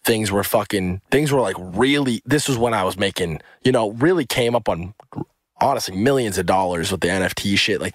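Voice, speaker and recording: A man's voice: deep voice, no room sound, clean studio sound. A young man co-hosting a casual podcast, rambling and full of filler words and swearing. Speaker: podcast co-host.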